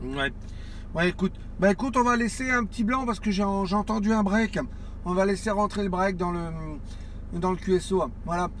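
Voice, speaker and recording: Male CB hobbyist, about 40, high-pitched voice, casual rambling delivery with repetitions, some background noise. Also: normal speed, a bit bright. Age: around 40. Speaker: amateur CB radio operator. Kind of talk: casual radio contact chat.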